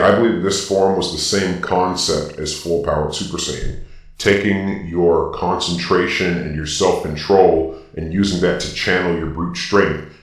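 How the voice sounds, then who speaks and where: Male commentator, very low, robot-filtered, distant and echo-heavy; emphatic, opinionated explainer. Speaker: video host. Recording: very echoey, distant sound.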